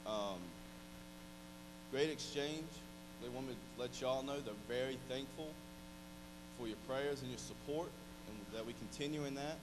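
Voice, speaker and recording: An American man gives an informal church address and prayer in a halting way. His voice sits at an average pitch. A clear electrical hum and noise run through the recording. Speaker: church speaker leading closing prayer. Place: large church sanctuary stage. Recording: loud mains hum and noise.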